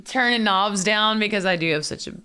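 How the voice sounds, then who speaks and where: Bright, loud-voiced woman host. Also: mid-range voice, fairly quick, clear, resonant tone. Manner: chatty, demonstrates vocal warm-ups. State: tired voice. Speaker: podcast host. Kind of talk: casual chat.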